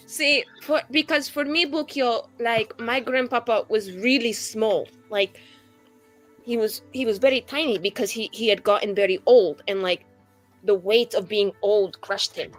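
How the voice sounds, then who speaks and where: A woman's voice: a little high, unhurried, faint music behind, lightly acted. A woman voicing a brash, foul-mouthed young female mage of twenty-two in a rambling, dramatic tabletop roleplay. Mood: sheepish. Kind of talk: improvised in-character roleplay.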